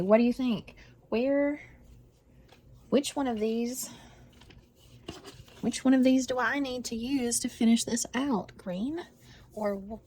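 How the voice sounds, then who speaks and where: A woman's voice: fairly high pitch, warm tone, husky. An older woman, chatting casually about her stitching with many restarts and repeats. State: congested. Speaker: embroidery vlogger.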